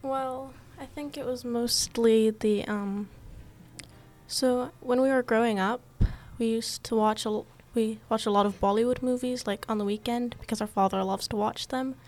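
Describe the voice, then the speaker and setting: A woman's voice: a little high, steady tone, moderate pace, a little mellow. A young woman answering interview questions hesitantly, trailing off. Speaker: guest, comic book co-creator.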